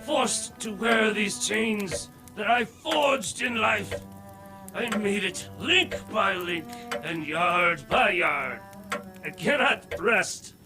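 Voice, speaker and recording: A wailing, high-pitched ghost in chains. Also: male voice, lively intonation, over music. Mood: anguished, raging. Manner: wailing, dramatic, drawn-out delivery. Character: anguished, chained ghost of a dead business partner. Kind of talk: theatrical audio drama acting.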